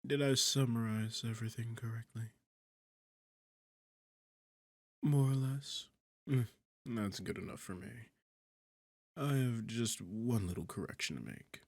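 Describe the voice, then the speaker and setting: A man's voice: mid-range voice, normal speed, no echo, studio quality, lightly acted. A man playing a sarcastic, seductive, arrogant demon king in an intimate ASMR fantasy roleplay, teasing and condescending as he talks. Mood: mocking, then sardonic, firm. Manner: sardonic, condescending, teasing, smooth-talking. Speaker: audio roleplay performer.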